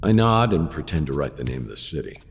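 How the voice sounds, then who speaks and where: An actor playing a wry, world-weary private detective in a hardboiled radio drama, narrating with deadpan, self-deprecating wit. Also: male voice, a bass voice, fast, long reverb tail, soft background music.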